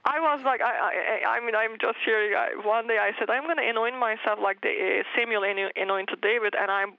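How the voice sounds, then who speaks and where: A woman whose native language is Turkish tells her story as an interview guest, earnest and full of restarts. Her voice has a gravelly edge. The recording is dry and somewhat muffled. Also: a little high, a little fast, no room sound. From Turkey. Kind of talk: personal testimony interview.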